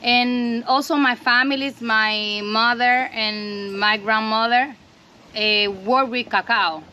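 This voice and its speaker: A woman's voice: a little high, fairly even intonation, slow pace, sharp tone, lo-fi sound, a little hiss or hum. A woman from the Dominican Republic, a native Spanish speaker with a Dominican accent, earnestly explaining her cacao farm in simple English. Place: outdoors on a farm.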